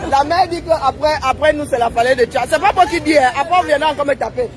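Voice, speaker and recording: A man's voice: very high voice, fast talker. A man chatting casually to his viewers while live-streaming from an outdoor square at a rally. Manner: chatty, addresses viewers as family, laughs often. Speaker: livestreamer. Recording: busy street crowd noise. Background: crowd and street traffic.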